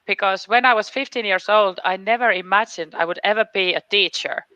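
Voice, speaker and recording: A woman chatting casually as an interview guest, on a dull, low-fidelity recording. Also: fairly quick, pushed and bright, clear, resonant tone, dry recording. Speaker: guest, teacher working with immigrants.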